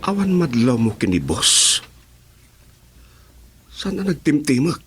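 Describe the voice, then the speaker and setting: A man's voice: on the high side, big pitch swings, takes it slowly, over loud music. A man acting a brash, sly, teasing henchman in an Ilocano radio drama.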